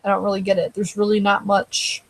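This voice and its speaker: Stream host, woman, raspy with vocal fry, rambling chatty delivery, voice tiring. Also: mid-range voice, completely flat delivery, a little fast, rough recording. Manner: rambling, conversational, answers chat comments. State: dry throat, voice tiring. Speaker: live show host. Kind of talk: casual live-stream chat.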